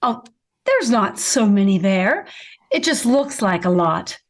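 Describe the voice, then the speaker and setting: A woman playing a patient, practical middle-aged mother, gently insistent, in vintage radio sitcom style. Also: mid-range voice, big pitch swings, slow pace.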